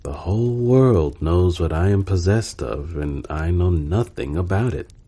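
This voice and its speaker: A man's voice: low-pitched, lively intonation, measured pace, warm tone, husky, full character voice. A man voicing an imperious, indignant fairy-tale emperor in a pompous, commanding manner. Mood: displeased.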